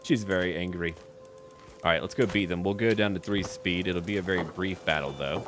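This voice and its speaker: A man's voice: low-pitched, fairly quick. A man giving casual game commentary with light role-play, musing aloud in short, clipped remarks. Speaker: gaming YouTuber. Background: background music.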